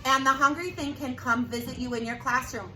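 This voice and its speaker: A woman teacher reading aloud to young children, expressive and prompting them with questions. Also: high-pitched, fairly quick, recorded from a distance. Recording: roomy echo with clear background noise.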